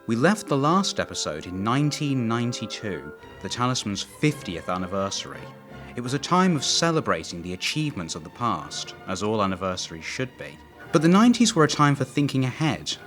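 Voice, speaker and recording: A man's voice: mid-range voice, big pitch swings, fairly quick, hardly any pauses, music in the background. A man narrating a documentary from a script.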